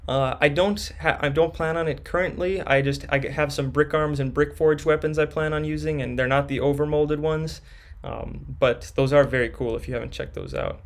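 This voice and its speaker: A young man hosting a live stream, reading viewers' questions from chat and answering them casually. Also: on the high side.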